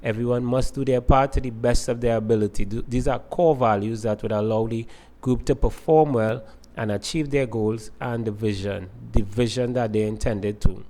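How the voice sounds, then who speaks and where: A man's voice: mid-range voice, fairly even intonation, normal speed, dark tone. A man lecturing to students, explaining ideas step by step and repeating phrases for emphasis.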